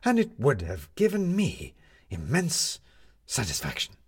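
A man voicing a proud, pompous male schemer in a story, deliberate and emphatic. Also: mid-range voice, huge pitch swings, husky, voice pushed up, exaggerated voice. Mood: defiant.

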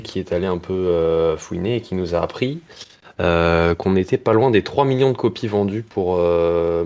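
A man speaking casually as a podcast panelist, with hesitant, halting phrasing. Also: sits low. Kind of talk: casual news discussion.

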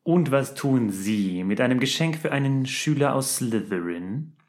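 Man doing a stern male professor, sneering, menacing, slow, hushed, fan audio story. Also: mid-range voice, animated, close to an everyday voice. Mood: cold, sneering.

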